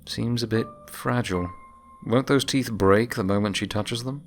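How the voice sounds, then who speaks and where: A man's voice: on the low side, moderate pace, soft background music, lightly acted. A man playing a doubtful, cautioning pony who is a concerned friend in an audio story. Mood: sceptical. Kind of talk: audio story character dialogue.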